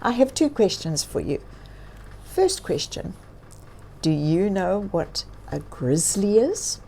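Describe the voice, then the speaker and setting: Female children's story reader: soft mellow timbre, animated intonation, encouraging, addresses the young listener directly. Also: medium pitch, slow pace, creaky, a little hiss or hum. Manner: asks listeners questions, expressive, encouraging. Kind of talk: read-aloud storytelling for children.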